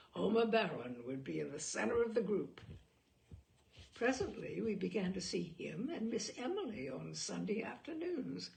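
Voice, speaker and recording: A woman narrating a literary short story aloud in an expressive, measured way, with dramatic phrasing. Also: medium pitch, gravelly.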